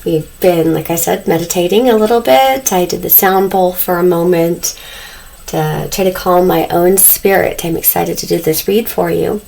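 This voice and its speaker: Tarot reader, woman, calm and chatty, slightly hoarse, with a gravelly low voice. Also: moderate pace, low-quality recording. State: losing her voice, a little husky. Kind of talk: casual tarot reading to camera. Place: dim room indoors lit by candles. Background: faint noise from loud neighbors upstairs.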